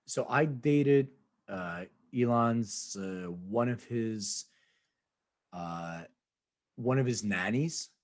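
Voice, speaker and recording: Relaxed Philadelphia man telling stories. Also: on the low side, drawn-out words. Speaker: podcast co-host. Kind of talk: casual podcast chat.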